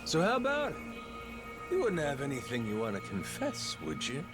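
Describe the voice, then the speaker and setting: A man's voice: on the high side, huge pitch swings, normal speed. A man playing a brash, playful middle-aged pirate captain with a folksy, slangy drawl in a video game. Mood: suspicious, needling. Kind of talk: video game cutscene acting. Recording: loud music behind the voice.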